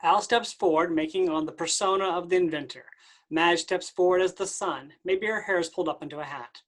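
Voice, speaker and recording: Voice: medium pitch, brisk. A matter-of-fact reader giving scripted stage directions for a play.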